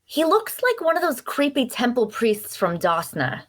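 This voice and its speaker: A woman's voice: a little high, wildly swooping pitch, normal speed. A woman playing a chatty, nosy and cheerful traveler in a fantasy audio drama, with a teasing, folksy manner. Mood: curious, friendly. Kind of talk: dark fantasy audio drama table read.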